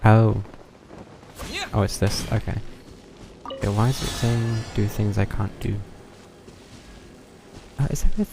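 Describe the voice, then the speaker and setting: A man's voice: lowish voice, measured pace, long pauses, background noise. A man giving casual gameplay commentary on a livestream, muttering hesitantly as he thinks aloud, with game music behind him. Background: video game music.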